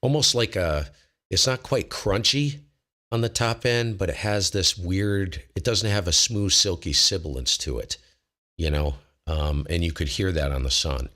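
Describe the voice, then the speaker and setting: A man with a very low voice hosting a casual ham radio livestream, talking informally and self-deprecatingly, often wandering mid-sentence. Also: a little slow, bright, forceful tone. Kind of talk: casual technical talk to a live chat.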